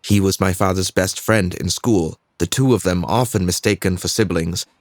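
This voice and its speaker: A man's voice: low-pitched, normal speed, no echo, clean studio sound, lightly acted. A young man performing a scripted dramatic monologue as a troubled, introspective student telling his own life. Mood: brooding.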